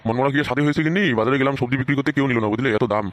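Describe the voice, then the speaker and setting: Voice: mid-range voice, rapid-fire, no room sound, close to an everyday voice. A performer with a Bengali accent voicing a poor, hot-tempered father who grumbles and scolds in a comic cartoon. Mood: dejected.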